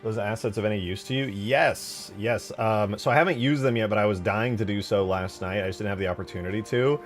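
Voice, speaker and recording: Low-voiced man streaming casually. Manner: informal, slangy, swearing, easily excited. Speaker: live streamer and game modder. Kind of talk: casual livestream chat while demonstrating game mod work.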